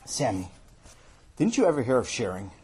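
Man as a coaxing, exasperated boy, husky. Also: medium pitch, big pitch swings, moderate pace. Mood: sheepish. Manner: quiet, hesitant pleading and reasoning.

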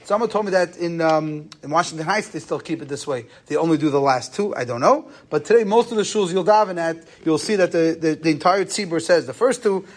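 High-pitched man teaching a religious lesson. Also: a little fast, few pauses. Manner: question-and-answer explaining, Yeshivish phrasing with Hebrew terms mixed in. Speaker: rabbi giving a lecture. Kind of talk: informal religious lesson, explaining texts.

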